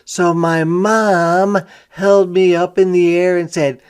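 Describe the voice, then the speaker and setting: Slow, slightly high-voiced man reading aloud. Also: little pitch movement, darkish tone, clear, resonant tone. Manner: dramatic, deliberate delivery with emphasized character lines. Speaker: read-aloud teacher for young children. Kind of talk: expressive picture-book read-aloud with simple explanatory asides.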